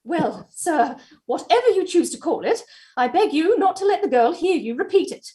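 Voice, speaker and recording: A prim housekeeper acted by a woman in a stage play, high-pitched and very animated. She is proper and deferential, yet firmly insistent. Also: moderate pace. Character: prim, firm, respectful housekeeper scolding her master.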